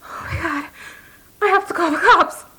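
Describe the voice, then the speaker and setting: A woman's voice: very high voice, normal speed, bright, forceful tone. A woman acting in an audio drama as a bitter, anguished young wife who wants out of motherhood. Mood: shocked, angry. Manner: bitter, emotional.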